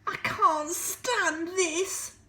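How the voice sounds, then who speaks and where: A woman voicing a tired, exasperated male father bear who wails his complaints slowly in a high, strained voice. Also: pushed and bright, reverberant, slight background noise, heavily acted. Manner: slow, wailing complaint, rising high.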